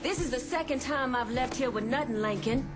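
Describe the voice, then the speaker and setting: A woman's voice: high-pitched, fairly quick, loud music behind the voice, close to an everyday voice. A woman acting a proud, businesslike criminal underboss in a game, with a pointed way of talking. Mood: aggrieved. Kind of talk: video game cutscene acting.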